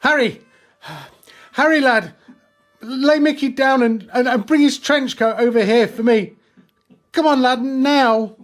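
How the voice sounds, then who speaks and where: Shaken, stammering army sergeant, slow man acting. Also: extremely high pitch. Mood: urgent. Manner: stammering, urgent, soothing. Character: shaken but protective male army sergeant in wartime. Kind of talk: radio drama acting.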